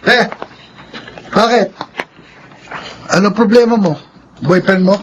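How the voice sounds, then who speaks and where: An actor playing a cheeky, wheedling teenage student son in a Filipino radio drama. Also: male voice, sits high, slow, a little hiss or hum, low-quality recording. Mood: mocking.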